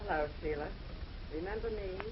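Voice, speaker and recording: An actress playing a tough, vengeful jilted lover, accusing and intense in old-time radio melodrama. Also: female voice, lowish voice, brisk, close to an everyday voice. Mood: cold, determined. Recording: dull, noisy old radio recording.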